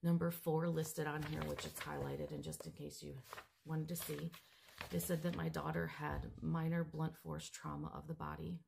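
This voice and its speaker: A mother, hoarse and raspy from a cold, earnestly reading out a report and stumbling over medical terms. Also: low voice, flat delivery, moderate pace, background noise. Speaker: grieving mother seeking justice. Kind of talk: direct-to-camera update, reading from a report.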